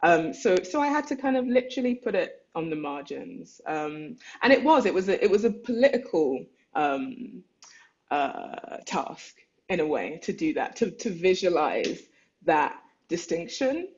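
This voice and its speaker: An artist lectures online about their own thesis and reads their own letters aloud. The delivery is reflective and searching, feeling its way through ideas. The voice sits at a middling pitch, heard through a dull, lo-fi call connection. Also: sing-song, measured pace, a little mellow.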